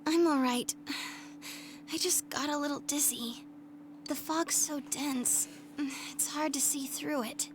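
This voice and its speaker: A woman's voice: sits high, slow pace, background noise. A woman playing a sensitive, easily exasperated teenage girl in a video game, with a plaintive, emotional delivery. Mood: weary.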